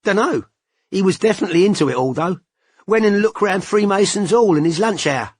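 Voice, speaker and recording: A man's voice: sits high, animated, fairly quick, husky, dry recording, studio quality, pitched above the performer's own voice. Man voicing a smug, cocky bloke. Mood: gossipy. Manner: blunt, grinning, slangy. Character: cocky working-class English man, chatty, smug. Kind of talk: audiobook character voice.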